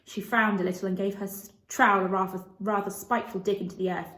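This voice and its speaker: A woman reads a children's novel aloud as narrator. Her pitch is mid-range, and the room adds a clear echo to the recording. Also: flat delivery, quick pace, fairly bright tone. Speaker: storybook reader. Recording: echoey room.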